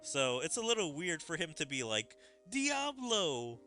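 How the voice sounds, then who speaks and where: A man's voice: a little high, big pitch swings, fairly quick, bright, forceful tone, soft background music. A man giving casual, rambling, chatty commentary on a game's story. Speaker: gaming streamer.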